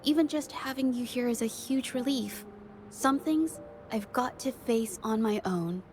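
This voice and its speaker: Woman voicing a nervous young painter girl, high voice, stammers, faint game music. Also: unhurried, a little mellow, close to an everyday voice. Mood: earnest. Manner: stammering, hesitant. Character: shy young female painter, nervous but earnest. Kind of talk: game voice acting.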